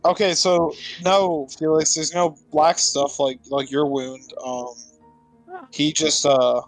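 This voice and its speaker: A man's voice: on the high side, little pitch movement, slow, no room sound, soft background music, low-quality recording. A man playing a tabletop roleplaying game, chatting casually in and out of character.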